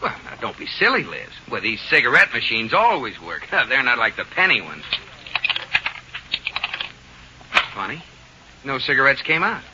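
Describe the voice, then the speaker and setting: An actor playing a grumbling but fond husband in an old-time radio comedy, scolding and exasperated. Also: male voice, mid-range voice, sing-song, brisk, muffled recording, natural voice. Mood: stubborn, indignant. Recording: noisy vintage radio recording.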